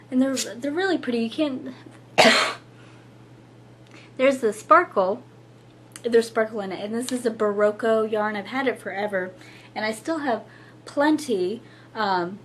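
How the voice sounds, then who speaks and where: A hoarse young woman with a husky voice, chatting casually to camera as the host of a knitting show, with lots of fillers and little laughs. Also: fairly high pitch, slight background noise. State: hoarse, losing her voice.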